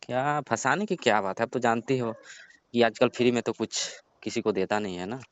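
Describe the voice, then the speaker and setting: A man's voice: mid-range voice, moderate pace, smooth, close to an everyday voice. A man playing a sly young friend of the family who coaxes and insinuates in a casual phone conversation. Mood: coaxing. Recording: muffled lo-fi phone call recording.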